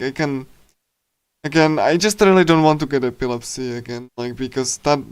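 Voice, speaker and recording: A man livestreaming in a casual, rambling chat style, speaking haltingly with lots of filler words. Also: mid-range voice, clear, resonant tone, no echo. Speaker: livestreamer reading a visual novel.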